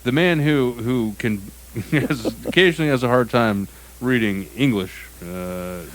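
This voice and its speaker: Laughing podcast co-host with faint hiss. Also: male voice, mid-range voice, unhurried, poor audio. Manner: reacts with short quips, laughs a lot, and trips over his own sentences. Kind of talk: casual comedic banter.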